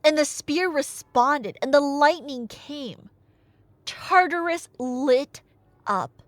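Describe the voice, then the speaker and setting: A woman's voice: high-pitched, takes it slowly, no room sound. A woman telling a myth in a casual, chatty but dramatic way, with wry asides, like an ASMR roleplay storyteller. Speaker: storyteller playing a chatty girlfriend.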